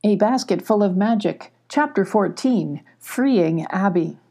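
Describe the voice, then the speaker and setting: An older woman with a husky voice reading a children's story as its host, speaking directly to her young listeners. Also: medium pitch, animated, unhurried, warm tone. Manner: addresses listeners directly in simple, inviting phrases.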